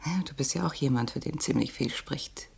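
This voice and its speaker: An actress playing a guarded, wounded young woman in a radio crime drama, speaking in a hushed, intimate, probing way. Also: extremely low pitch, brisk, vocal fry, breathy edge, whispered, full character voice. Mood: wry, probing.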